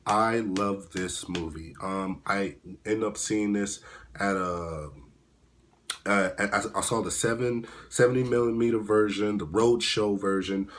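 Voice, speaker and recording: Guy with a low voice doing a casual movie review, kinda hoarse, rambles and restarts a lot. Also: slight background noise. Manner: rambling, halting, many restarts. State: hoarse, voice at risk of cracking.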